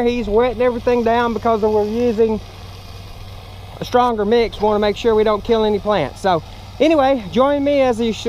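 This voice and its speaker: A man's voice: very high voice, rough recording. A middle-aged American man explaining his work in a casual, plainspoken way as he demonstrates it to camera. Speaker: roof cleaning contractor. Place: outdoors on a ladder at a roofline. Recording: outdoor action camera, wind and machinery noise.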